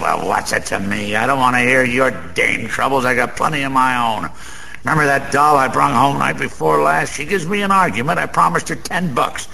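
A bragging, lonely small-time gambler with an American accent in a radio play. His voice is bright and gravelly and swings up and down as he rambles through slangy wisecracks. It rings in a long reverb. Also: mid-range voice, exaggerated voice. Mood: scornful, wisecracking. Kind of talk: theatrical radio-play monologue. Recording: long room reverb, faint noise.

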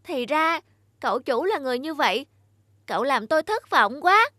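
A woman's voice: high voice, sing-song, normal speed, bright, forceful tone, no echo, clean studio sound, heavily acted. A woman voicing a hurt, reproachful talking children's shoe in a Vietnamese cartoon, plaintive and emphatic.

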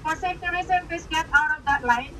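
A woman working a fast-food drive-thru, giving polite, apologetic customer service through an outdoor intercom. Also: sits high. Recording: drive-thru speaker, distant, over loud music.

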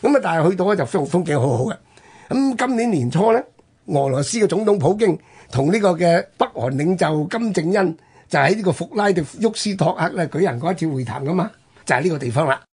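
A man with a Hong Kong Cantonese accent hosts a history talk. His voice is very rough and husky, and his pitch moves widely as he stresses points and stretches his phrase endings like a storyteller. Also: lively intonation, darkish tone. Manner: emphatic storyteller with drawn-out phrase-ending particles. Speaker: radio host. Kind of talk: conversational history storytelling on radio.

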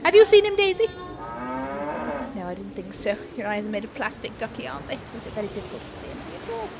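Cheeky, high-voiced pantomime dame. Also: female voice, big pitch swings, fast, vocal fry. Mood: cheeky, playful. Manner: gushing, cheeky, theatrical. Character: bawdy, teasing female pantomime dame spirit of Christmas Present. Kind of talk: pantomime audience banter.

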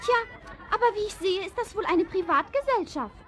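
A woman's voice: extremely high pitch, lively intonation, fairly quick. An actress voicing a little girl playing a posh lady at a party, affectedly genteel.

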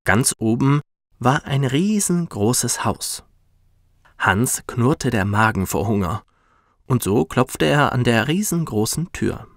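A man gently and expressively narrating a scripted bedtime story for children, as a professional reader. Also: mid-range voice, animated, slow, no echo, clean studio sound.

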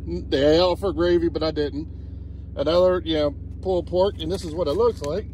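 A man's voice: on the high side, steady tone, normal speed, warm tone, slight background noise, poor audio. A man in his forties, a truck driver, talking plainly through a casual food review while eating in his truck cab, recorded on a phone held at arm's length. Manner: plain-spoken, talks between bites. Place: inside a truck cab sleeper. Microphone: phone camera at arm's length.

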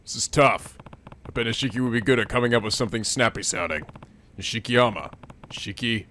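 A man voicing a stoic, gruff male yakuza hero in a video game, talking low, flat and serious. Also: brisk, lightly acted.

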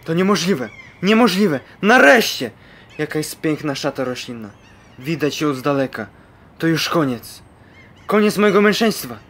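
A man's voice: a little high, sing-song, moderate pace, fairly bright tone, slight background noise. Young man playing a lovesick, proud sailor. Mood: desperate, exclaiming. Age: young adult. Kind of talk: amateur radio play acting.

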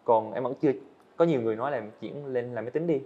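A man's voice: mid-range voice, racing, dark tone, dull audio. A young man, a guest animator, earnestly explaining his work step by step in a casual interview on a studio set.

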